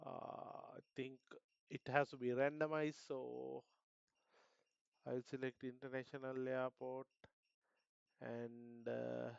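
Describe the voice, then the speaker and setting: Sri lankan guy thinking out loud on a flight sim stream, clear smooth voice, dry but kinda dull mic. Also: mid-range voice, flat delivery, slow, long pauses, no room sound. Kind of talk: casual live commentary of flight planning.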